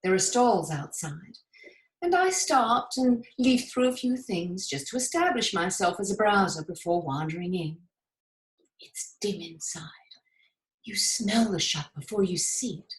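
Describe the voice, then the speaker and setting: Woman acting as effusive 1950s stage actress, theatrical letter monologue, emphatic, savouring delivery, echoey room. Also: mid-range voice. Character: gushing 1950s American actress writing to her dearest friend. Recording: roomy, echoing sound.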